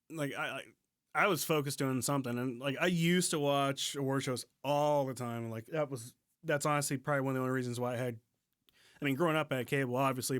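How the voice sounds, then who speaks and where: A man with a mid-pitched voice talks casually on a podcast. His delivery is informal and a bit halting, recorded close and dry with very little room sound. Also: a bit bright, clear, resonant tone, no echo. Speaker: podcast co-host. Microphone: close podcast mic on remote recording.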